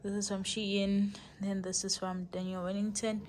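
A woman's voice: mid-range voice, moderate pace. A young woman chatting casually to her viewers in a rambling, thinking-aloud way while vlogging at home. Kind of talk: casual vlog chat to camera. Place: at home, partly in a kitchen. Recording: home video with steady background noise.

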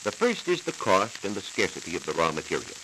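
An American man with a fairly low voice gives a formal, scripted science explanation in the manner of 1940s educational radio. His pitch moves animatedly as he teaches. The old transcription disc hisses and crackles clearly throughout. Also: wildly swooping pitch. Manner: measured, didactic, formal. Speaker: science reporter on a radio programme. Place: radio studio.

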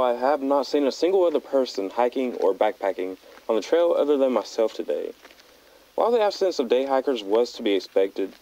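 A young man playing an uneasy teenage backpacker recording an audio diary in a measured, hesitant, understated way. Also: medium pitch, dark tone, natural voice. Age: young adult. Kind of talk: found-footage audio log narration. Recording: dull lo-fi handheld tape recorder.

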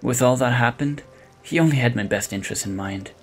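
A man's voice: medium pitch, fairly quick, faint music behind, natural voice. A young man playing an earnest, hesitant, grieving college student in an audio drama. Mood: relieved. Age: young adult.

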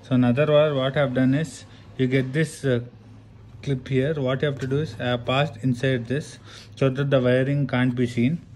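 A man's voice: medium pitch, little pitch movement, moderate pace, a little mellow. A man with an Indian accent giving a casual hands-on how-to, explaining step by step and often checking in with "right". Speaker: tutorial presenter. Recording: clear background noise and room ambience.

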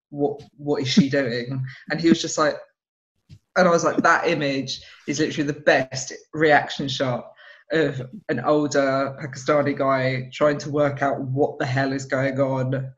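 A woman in her thirties with an Essex accent, a stand-up comedian chatting on a video call and acting out other people's lines as she tells stories. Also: low voice. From England. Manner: animated storyteller who acts out other people's lines. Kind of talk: casual interview chat. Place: home room, video call. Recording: dull lo-fi video call audio.

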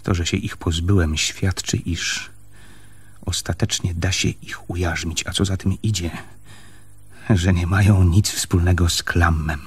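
An actor in a Polish radio play, playing a stubborn, jealous man with an emphatic, halting way of speaking. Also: sits low, fairly even intonation, breathy edge, heavily acted. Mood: stern, resolute. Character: stubborn, jealous, stern man arguing with his lover.

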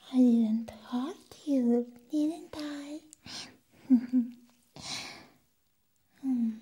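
Woman acting a slow whispering vampire nurse. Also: on the high side, heavily acted. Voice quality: breathy whisper. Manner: slow, teasing, with drawn-out hums and soft chuckles. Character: crazy seductive female vampire nurse, softly menacing. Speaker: ASMR performer. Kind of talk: whispered ASMR roleplay. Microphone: close microphone, face near the camera.

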